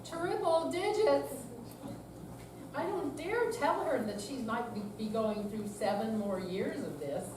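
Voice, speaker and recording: A woman's voice: a little high, expressive, slow pace. An older woman in a staged reading, playing a candid seventy-year-old woman who is weary but resolute about managing depression. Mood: weary, exasperated. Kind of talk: scripted dramatic reading. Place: senior center room, live performance. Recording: echoey room, distant mic, clear background noise.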